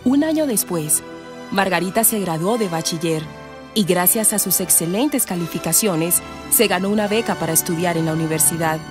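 A woman's voice: lowish voice, animated, moderate pace. A woman narrating a scripted radio drama. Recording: music behind the narration.

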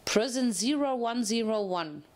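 A woman's voice: a little high, animated, measured pace. A stern mare lieutenant from a storybook, played by a woman. She bullies and scolds in an officious, scornful manner. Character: stern, bullying female prison-guard pony lieutenant.

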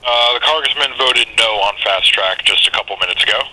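Fast-talking man on noisy phone. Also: medium pitch. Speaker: congressional office staffer. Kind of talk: polite phone reception. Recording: noisy telephone line.

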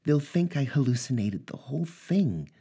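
A man voicing a distraught young woman, his character's ex-wife, speaking in a soft, pleading way, raised well above his own voice. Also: medium pitch, very animated, normal speed, slightly rough, pitched above the performer's own voice.

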